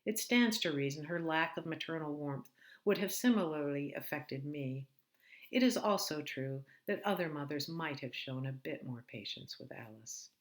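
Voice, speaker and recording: A woman's voice: deep voice, expressive, moderate pace. A woman author reading her own novel aloud in first person, with a dry, wry tone. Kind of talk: first-person audiobook narration.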